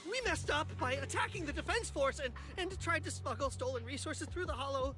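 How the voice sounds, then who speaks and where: Small pleading raider in a video game: high, whiny, argumentative, over prominent music. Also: female voice, lively intonation, brisk. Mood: protesting.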